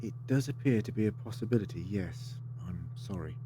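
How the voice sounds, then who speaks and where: A man's voice: on the low side, expressive, fairly quick, background noise, lightly acted. A man acting in an audio drama as a polite, assured time-travelling adventurer, articulate and theatrical with a wry streak. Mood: grave, deliberate.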